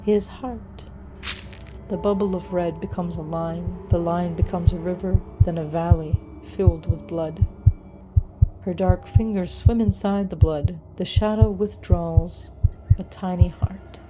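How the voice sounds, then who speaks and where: Over clear background noise, a woman with a fairly low voice narrates horror fiction. Her delivery is measured and dramatic, like scripted storytelling. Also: steady tone, highs cut off.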